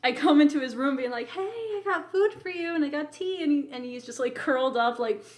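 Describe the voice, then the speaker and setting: A woman with a high-pitched voice chats as a podcast co-host. She tells stories casually, in a playful, teasing manner, dropping "like" into her sentences. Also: lively intonation, brisk. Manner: playful, teasing, often says "like". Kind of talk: casual chat, animated storytelling.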